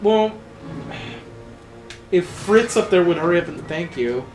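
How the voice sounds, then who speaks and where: A man's voice: high-pitched, lively intonation, measured pace, a little mellow, echoey room. A man co-hosting a let's play, chatting casually and joking with lots of fillers while game music plays. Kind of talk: casual gameplay commentary.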